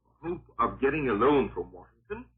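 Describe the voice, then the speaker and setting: A man delivers scripted political commentary in the style of 1940s radio. His voice is very rough and husky, with a lively, swooping intonation that drives home each point with sardonic, oratorical emphasis. The sound is narrow and tinny, like an old broadcast. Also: mid-range voice, a little fast.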